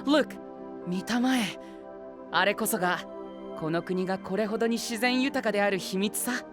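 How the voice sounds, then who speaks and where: A man playing a flamboyant, pompous young gentleman knight in an anime audio drama, dropping English exclamations theatrically. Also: high voice, moderate pace, over music, lightly acted. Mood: proud, grandiose.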